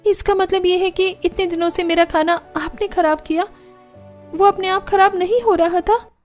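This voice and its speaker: A woman's voice: extremely high pitch, steady tone, moderate pace, faint music behind, pitched above the performer's own voice. A woman voicing a kind, anxious young daughter-in-law in a cartoon family drama, pleading earnestly and politely. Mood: hurt, bewildered.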